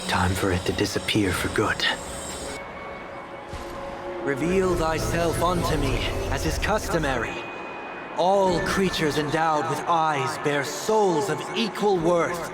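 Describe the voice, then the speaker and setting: An actor playing a composed, courteous, melancholic young former leader in a video game, speaking in formal, measured, solemn phrases. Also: male voice, a little high, expressive. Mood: solemn, ceremonial. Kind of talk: dramatic video game dialogue. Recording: game music behind the voice.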